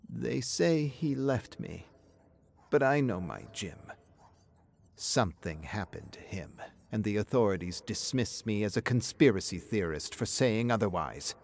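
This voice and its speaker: A man's voice: lowish voice, expressive, measured pace, gravelly, darkish tone, exaggerated voice. A man voicing an anxious, grieving middle-aged woman in a story, speaking in a hesitant, wistful way. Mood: grieving, insistent.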